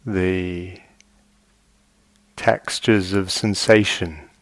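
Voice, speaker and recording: A man guiding a meditation as a teacher, speaking gently and in measured short phrases with long pauses. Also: deep voice, fairly even intonation, no echo. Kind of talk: guided meditation. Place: meditation retreat hall.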